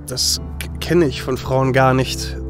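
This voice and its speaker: An actor playing a polite, muscular young hero in a fan-made audio drama, earnest and courteous, over soft music. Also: male voice, mid-range voice, fairly even intonation, measured pace, lightly acted.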